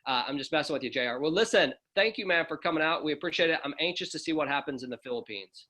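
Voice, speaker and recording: A man's voice: fairly high pitch, expressive, fast talker, pushed and bright. A man hosting an online video call, friendly and joking as he brings speakers on. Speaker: host of an online presentation. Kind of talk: upbeat casual hosting.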